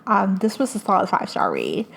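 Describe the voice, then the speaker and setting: A young woman from hosts a casual podcast, her Spanish. She talks eagerly, full of fillers and little restarts, at an ordinary mid pitch for a woman. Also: wildly swooping pitch, brisk, vocal fry, fairly bright tone, breathy edge. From Puerto Rico. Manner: enthusiastic, chatty, many fillers. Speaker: podcast host. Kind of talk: casual book recommendations.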